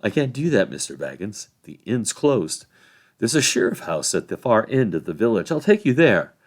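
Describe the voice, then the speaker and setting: Man voicing an officious hobbit sheriff, animated. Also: lowish voice, moderate pace. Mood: stubborn. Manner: officious, rustic wording. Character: officious, self-important, nervous hobbit sheriff leader, male.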